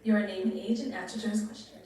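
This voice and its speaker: A woman's voice: mid-range voice, fairly even intonation, quick pace, warm tone. A woman hosting a dating game show, casually giving directions and asking the contestants questions. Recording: echoey, distant, faint noise.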